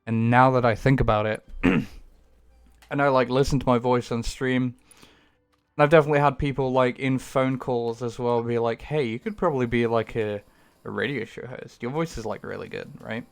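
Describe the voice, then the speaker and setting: A man's voice: medium pitch, normal speed, gravelly, clear, resonant tone, faint music behind. A young man chatting casually while streaming a game at home, rambling and self-deprecating with the odd swear. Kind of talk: casual gaming stream chat.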